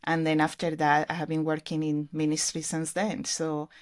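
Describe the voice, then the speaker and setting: Middle-aged woman guest, english, low-ish voice, earnest and reflective. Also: completely flat delivery, normal speed. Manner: earnest, reflective storytelling with fillers. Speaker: podcast guest, ministry leader. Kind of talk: conversational interview.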